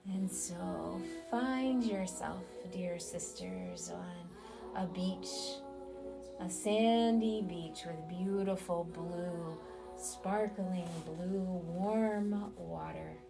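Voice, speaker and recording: A woman guides a meditation in a low voice with a gravelly fry at the edges. She speaks very slowly and soothingly, stretching her phrases and leaving long pauses between them. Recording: loud music under the voice. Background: music.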